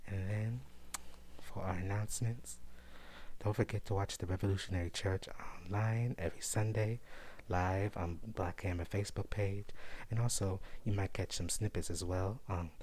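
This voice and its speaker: A man hosting an ASMR-style news show, talking softly in a put-on posh British voice and laughing often. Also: sits low, steady tone, moderate pace.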